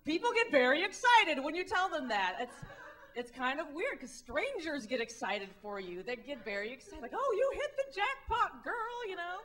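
Bright, wry woman doing stand-up. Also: high voice, moderate pace. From Midwest US. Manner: wry, animated, acts out quoted voices. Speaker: stand-up comedian. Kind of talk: stand-up comedy set. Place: comedy club stage, indoors. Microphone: handheld mic.

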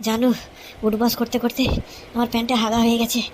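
A woman's voice: fairly high pitch, little pitch movement, fast, a little hiss or hum, natural voice. A woman voicing a foolish, doting cartoon husband who wheedles and pleads with comic melodrama. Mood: besotted.

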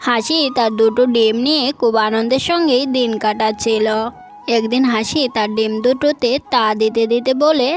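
A woman with a fairly high voice reads a scripted children's tale as its narrator. Music runs underneath her narration. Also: normal speed. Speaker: story narrator. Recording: music behind the voice.

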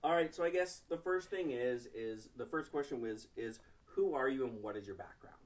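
A woman's voice: extremely low pitch, lively intonation, moderate pace, a little mellow. An off-camera woman interviewer asking casual, playful questions.

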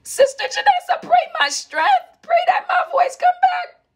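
A woman's voice: extremely high pitch, a little slow, raised voice. A middle-aged woman on a home live stream, praying fervently and singing along while her voice cuts in and out, recorded on a phone held at arm's length in a small room. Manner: fervent, prayerful, swings between talk and song. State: voice cutting in and out. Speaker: worship leader on a live stream. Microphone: phone camera at arm's length. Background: worship music playing.

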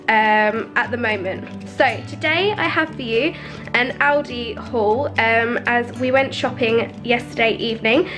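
A pregnant young woman with a stuffy cold, chatting casually to camera in her small flat about groceries, with lots of ums and little laughs. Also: high voice. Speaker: lifestyle vlogger. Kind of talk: casual haul vlog to camera. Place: small flat, indoors at a table. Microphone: camera mic at close range.

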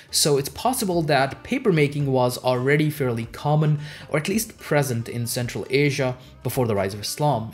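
Young male history host, native Urdu speaker, medium pitch, scripted explainer delivery with music underneath. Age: young adult. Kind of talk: scripted educational narration. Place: small home office, indoors. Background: background music.

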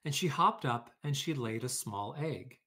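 A man's voice: medium pitch, lively intonation, unhurried, sharp tone, husky. A man hosting a read-aloud for children, talking informally and explaining things, or reading a storybook. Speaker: educator, read-aloud host.

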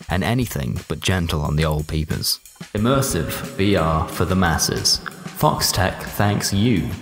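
A man's voice: deep voice, fairly bright tone. A man narrating a first-person comic short story in a dry, colloquial, sardonic way. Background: music.

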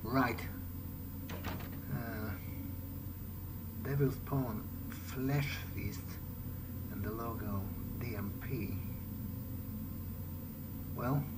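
A man thinking aloud in short, halting phrases as he plays a video game in character. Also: mid-range voice, fairly even intonation, very noisy. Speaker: gamer playing a vampire role-playing game in character. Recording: loud game music behind. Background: game music.

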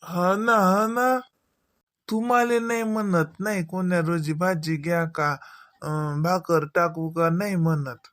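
A man voicing an elderly, protective village father in a comedy cartoon, with a halting, homely manner and a rural Varhadi Marathi accent from Vidarbha. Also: high voice, fairly even intonation, a bit bright, no echo, clean studio sound. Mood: concerned, advising.

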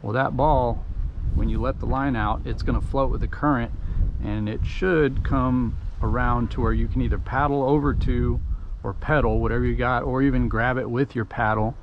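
A middle-aged man with a Texan accent, giving a relaxed, folksy step-by-step how-to while working at a pickup truck tailgate outdoors, with frequent ums and uhs. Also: mid-range voice, moderate pace, lo-fi sound. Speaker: DIY tutorial host. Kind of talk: casual step-by-step how-to narration. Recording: noisy outdoor camera audio.

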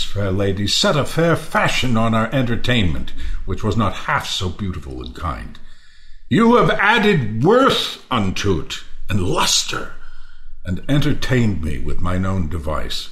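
A man's voice: lowish voice, big pitch swings, slow pace, a bit bright, exaggerated voice. A man playing a lavish, gracious Athenian lord in a courtly, theatrical reading of a Shakespeare audio play. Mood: courtly warmth. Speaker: actor in an amateur play reading.

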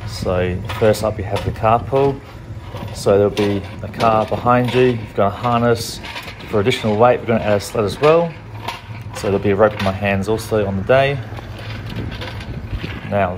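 A man's voice: lowish voice, background noise. Laid-back man coaching strongman. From Australia. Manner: relaxed, practical, plain-spoken. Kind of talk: casual training tutorial voice-over.

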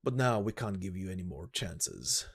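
A man voicing a gruff, stern, cautious mercenary who speaks in a measured, guarded, commanding way in an amateur game story reading. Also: low voice, sing-song, voice pushed down, full character voice. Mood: stern, suspicious.

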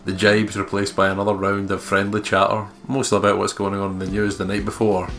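A man's voice: lowish voice, completely flat delivery, fairly quick, fairly bright tone. A man reading visual novel narration as a let's play host, with casual, jokey asides. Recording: music playing behind the voice.

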